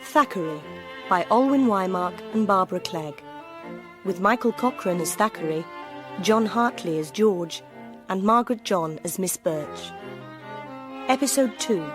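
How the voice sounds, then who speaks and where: A woman's voice: medium pitch, lively intonation, unhurried, pauses often. Woman announcer over string music. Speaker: radio drama announcer. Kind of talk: scripted credits narration.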